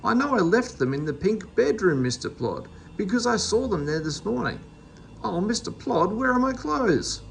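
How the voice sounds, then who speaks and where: A man voicing a muddled, easily astonished storybook gentleman with an exclamatory, demanding manner. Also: high voice, big pitch swings, moderate pace, gravelly, a little hiss or hum, voice pushed up, heavily acted. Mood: bewildered, pleading.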